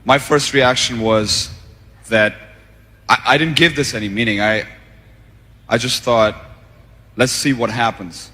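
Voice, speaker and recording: A man from Pakistan answering on stage at a seminar, hesitant with pauses and fillers. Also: medium pitch, fairly even intonation, sharp tone. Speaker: seminar participant. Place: large seminar hall. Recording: reverberant hall.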